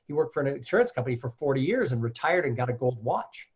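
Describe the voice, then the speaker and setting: A man with a loud, animated storytelling style, talking as a guest on a podcast interview. His voice is a bit husky at a middling pitch. The audio is dull and lo-fi. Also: fast talker. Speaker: guest, keynote speaker.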